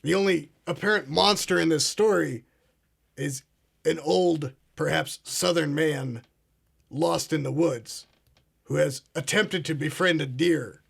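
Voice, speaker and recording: Brief: a man doing a pompous conspiracy show host, gravelly and husky, a bit high. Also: unhurried, full character voice. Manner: grandiose, ominous, addresses "ladies and gentlemen". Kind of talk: comedic mock talk show.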